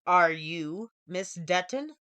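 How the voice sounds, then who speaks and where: A suave, mysterious gentleman professor, played by a woman narrator for an audiobook. He speaks in measured, drawn-out phrases with sly courtesy. The sound is dry and close. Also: on the low side, lively intonation, dry recording, voice pushed down, heavily acted. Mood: suave, composed. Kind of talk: audiobook character dialogue.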